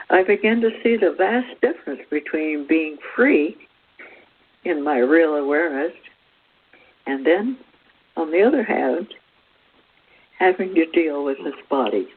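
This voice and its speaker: A woman presents a spiritual talk over a thin, phone-like radio connection. She speaks slowly, with a husky edge to her voice, in a measured style that sounds read from a script. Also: sits low, very animated. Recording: tinny phone-like radio line.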